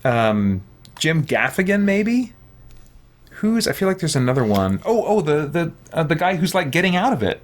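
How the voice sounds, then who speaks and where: A man's voice: fairly high pitch, very animated, normal speed. An American man chatting casually as host of a video game livestream, often thinking aloud. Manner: talks to himself while playing, thinking aloud. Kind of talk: casual rambling livestream chat. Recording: background music under the voice.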